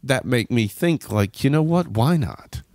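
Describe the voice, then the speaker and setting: A man's voice: mid-range voice, animated, a little slow, a little mellow, dry recording. A man hosting a podcast interview in a casual, chatty way, enthusiastic and rambling, often repeating phrases for emphasis. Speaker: podcast host interviewing two guests.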